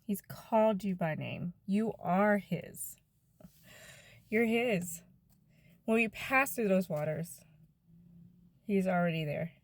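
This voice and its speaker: Gentle, chatty woman in her fifties. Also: medium pitch, animated, takes it slowly, long pauses, dry recording. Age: 50s. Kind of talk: casual devotional talk to viewers.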